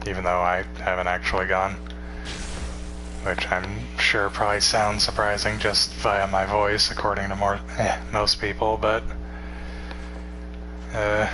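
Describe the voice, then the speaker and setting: A tired man of twenty-one chatting casually with stream viewers in a rambling, relaxed way, swearing now and then. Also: on the low side, a little slow, pauses often, background noise.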